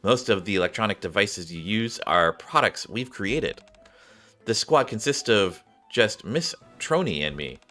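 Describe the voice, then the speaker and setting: A man's voice: on the low side, expressive, unhurried, sharp tone, soft background music. A man voicing an eager, cheeky young gamer girl in read-aloud game dialogue, chatty in manner.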